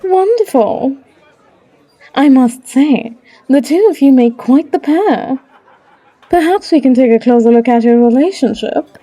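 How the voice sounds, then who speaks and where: A woman acts out a tavern barkeep who tells fortunes in an audio roleplay. Her voice is fairly high and mellow, and she takes her time, warmly teasing and coaxing like an old confidante. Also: animated, some chatter behind, low-quality recording. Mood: cheerful, inviting. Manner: coaxing, unhurried, calls listener love. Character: Old Red, warm teasing female barkeep and fortune teller. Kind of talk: character audio roleplay acting.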